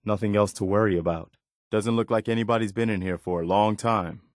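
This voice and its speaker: An actor playing an earnest, wary militia man in a post-apocalyptic video game, speaking in a measured, conversational way. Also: fairly low pitch, steady tone, no echo, studio quality, lightly acted. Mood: watchful, conversational. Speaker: video game companion character. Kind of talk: game voice acting, short spoken reactions.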